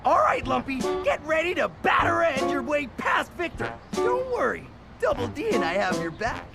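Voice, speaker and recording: A scheming cartoon loudmouth of a boy. His high, gravelly voice swings wildly as he bosses and wisecracks. Loud music plays behind him. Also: wildly swooping pitch, normal speed, exaggerated voice. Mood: bossy, confident. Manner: brash, bossy, wisecracking. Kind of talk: cartoon game voice acting.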